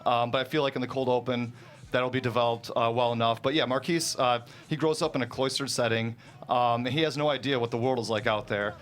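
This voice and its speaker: A man speaking as a guest writer in a studio, explaining things in a halting, conversational way. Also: mid-range voice, steady tone, fairly bright tone. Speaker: guest screenwriter. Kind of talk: casual interview chat.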